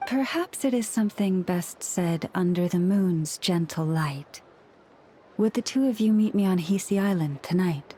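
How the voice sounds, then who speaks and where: A woman playing a gentle, solemn young antlered moon priestess in a video game, speaking in a formal, serene, measured way. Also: on the low side, slightly rough. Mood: gentle, earnest. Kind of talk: scripted video game cutscene acting.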